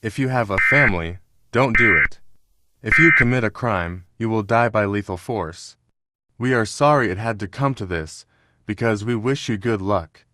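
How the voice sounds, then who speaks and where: A man's voice: fairly low pitch, normal speed, pushed and bright. A man playing a grave emergency alert announcer who reads doomsday warnings in a formal, solemn manner. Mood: ominous, grave. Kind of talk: scripted emergency broadcast announcement.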